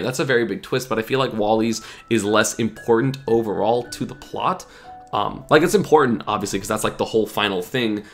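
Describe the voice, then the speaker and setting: A man's voice: on the low side, creaky, a bit bright. A young man talking casually and rambling to camera, with lots of fillers, sniffling and a bit sick. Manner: rambling, filler-heavy, chatty. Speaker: gaming YouTuber. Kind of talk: casual opinion vlog.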